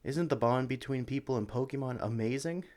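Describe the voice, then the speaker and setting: A man playing a friendly, excitable young sanctuary employee who chats eagerly and apologetically to a new recruit in a one-sided audio roleplay. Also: medium pitch, a little fast, lightly acted. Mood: instructive, friendly. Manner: chatty, eager, apologetic, with hesitations. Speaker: experienced employee showing a new recruit around. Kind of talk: ASMR roleplay, one-sided conversation with the listener.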